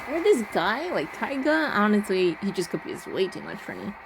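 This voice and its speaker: A woman's voice: medium pitch, sing-song, normal speed, vocal fry, noisy background. A woman giving casual, informal playthrough commentary on a game, thinking aloud and often saying "like" as she goes. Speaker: game streamer.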